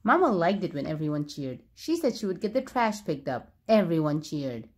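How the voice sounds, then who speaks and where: A woman narrating a children's storybook aloud, expressively and with clear phrasing for young listeners. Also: low voice, big pitch swings, moderate pace.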